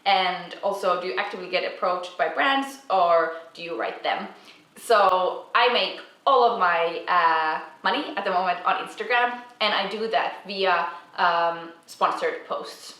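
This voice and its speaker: A woman's voice: fairly low pitch, measured pace. Chatty woman in an echoey room. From Finland. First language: Swedish. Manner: chatty, many fillers and soft restarts. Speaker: Instagram creator and vlogger. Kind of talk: casual Q&A vlog. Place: home apartment, indoors. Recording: roomy sound, distant mic, music behind.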